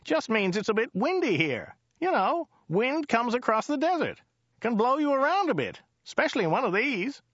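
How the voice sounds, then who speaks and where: A man's voice: very high-pitched, sing-song, normal speed, fairly bright tone, slightly rough, no room sound, dull audio, pitched above the performer's own voice, exaggerated voice. A man voicing a talkative, oblivious driver from California in an audiobook, nasal, whining and repetitive. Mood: puzzled. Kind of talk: audiobook character voice.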